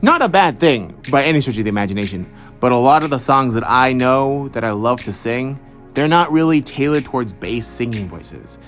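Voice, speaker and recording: A young man from Houston talks through fan questions about his voice work in a relaxed, rambling way, stopping and restarting his thoughts often. His voice sits at an ordinary middle pitch. Soft music plays faintly underneath. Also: normal speed. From Houston, Texas. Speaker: voice actor answering follower questions. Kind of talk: casual Q&A monologue.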